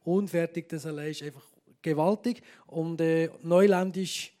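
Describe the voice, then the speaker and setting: A man with a high voice co-presents on a church stage. He talks with eager, effusive enthusiasm. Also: steady tone, moderate pace, mellow.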